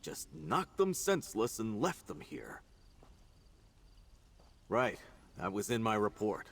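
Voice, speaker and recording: A wary knight commander in a fantasy video game. The voice is husky and fairly low, with lively movement in pitch as he gives orders. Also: slow pace, full character voice. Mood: grave, urgent.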